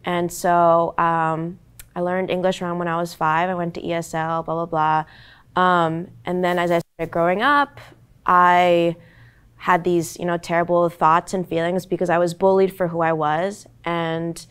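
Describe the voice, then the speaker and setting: A woman's voice: lowish voice, flat delivery, measured pace, clear, resonant tone. A young woman actor in her twenties, whose first language was Korean, talking earnestly and reflectively on a panel with many "like"s and "um"s. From United States. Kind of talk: candid panel talk.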